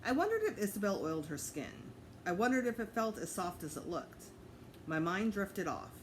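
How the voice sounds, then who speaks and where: Animated woman reading a story aloud. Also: mid-range voice, moderate pace, a little hiss or hum, rough recording. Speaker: teacher reading aloud to students. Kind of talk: expressive storybook narration for children.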